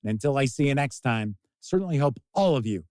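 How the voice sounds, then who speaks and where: A man hosting a tabletop game review, talking casually to camera with an emphatic sing-song delivery and drawn-out words. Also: mid-range voice, lively intonation, a little mellow, no room sound, clean studio sound.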